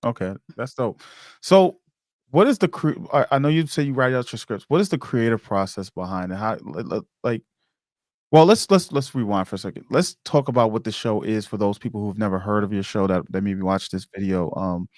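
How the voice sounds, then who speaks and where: A man hosting a casual interview, informal and halting as he rewords his questions on the go. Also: on the low side, animated, darkish tone, no room sound, clean studio sound. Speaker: podcast interviewer, host.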